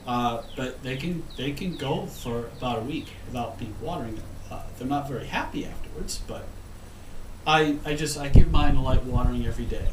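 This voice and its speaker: A man's voice: mid-range voice, brisk. A man in his thirties with a head cold, talking casually to the camera about his plants on a windy patio, rambling and restarting his sentences as he goes. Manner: rambling, self-correcting, frequent ums. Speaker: gardening vlogger. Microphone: camera mic from a few feet away. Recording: roomy, distant camera audio with wind noise.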